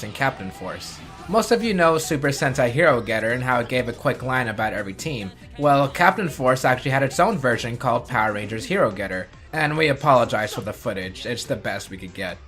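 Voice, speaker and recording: A man's voice: mid-range voice, pushed and bright. A man reading scripted narration for an explainer video as its host. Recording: music bed under the voice. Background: background music.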